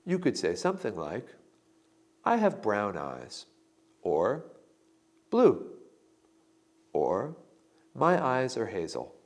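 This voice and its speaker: A man narrates a language lesson at a slow, careful pace. His pitch moves a lot, lifting on each model answer he reads out with clear emphasis. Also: huge pitch swings. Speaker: instructor giving sample answers. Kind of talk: scripted instructional narration.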